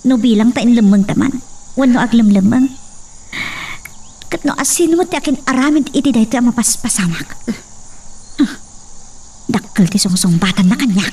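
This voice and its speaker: An actress playing a worried but determined daughter in a radio drama, earnest and resolute, with a northern Philippine accent. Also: female voice, on the high side, expressive, normal speed, long pauses, warm tone, husky, distant mic. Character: determined, worried daughter searching for her father.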